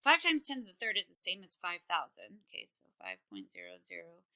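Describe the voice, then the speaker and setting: A woman teaches chemistry in a recorded online class. She talks in a casual, chatty way with many fillers. Her voice is very bright, and she speaks close to a computer microphone. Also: lowish voice, wildly swooping pitch, fairly quick.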